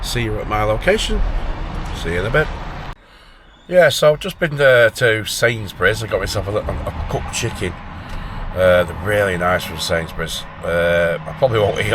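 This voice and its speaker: A man in his late forties with a Northern English accent, rambling informally and thinking aloud as he chats to viewers in an unscripted vlog. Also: low voice. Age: late 40s. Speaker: van-life vlogger. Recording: noisy, traffic and vehicle ambience. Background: traffic and vehicle noise.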